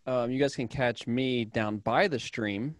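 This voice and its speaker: A man's voice: mid-range voice, unhurried, no echo, clean studio sound. A man from the United States chatting casually on a livestream panel. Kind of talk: casual chat.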